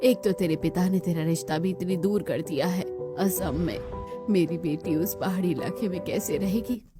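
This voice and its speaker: A woman's voice: on the low side, normal speed, over loud music, natural voice. A woman voicing a worried, scolding middle-aged mother in a cartoon story. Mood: fretful scolding. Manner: nagging.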